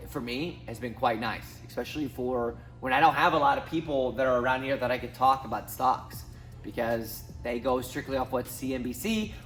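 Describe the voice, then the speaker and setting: A man's voice: medium pitch, a bit bright. A man in his thirties from Southwest Missouri, talking casually to camera as a finance YouTuber, rambling with many ums and restarts. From Southwest Missouri, USA. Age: 30s. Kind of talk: casual talking-head vlog.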